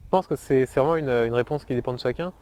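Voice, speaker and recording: A man answers an interview question thoughtfully. He pauses often on fillers, in a mid-pitched voice. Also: warm tone. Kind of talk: casual interview talk.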